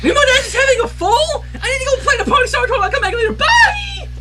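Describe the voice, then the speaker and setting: A man voicing a bubbly, excitable young female cartoon pony in gushing exclamations. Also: very high voice, quick pace, a little hiss or hum, pitched above the performer's own voice, full character voice. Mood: excited.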